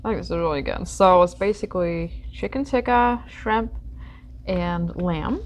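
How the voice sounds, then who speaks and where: A woman's voice: on the low side, unhurried. A young woman hosting a food vlog, chatting casually and upbeat while she eats at a restaurant booth. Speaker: food vlogger host. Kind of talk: casual mukbang chat. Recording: restaurant with clear background noise.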